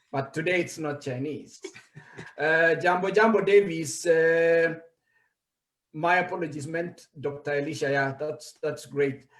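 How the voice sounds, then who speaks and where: A man hosting a livestream book launch, reading out viewer comments in an animated, chatty way. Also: on the high side, a little slow. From Kenya. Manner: animated, reads out comments, laughs easily. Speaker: host. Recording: echoey room.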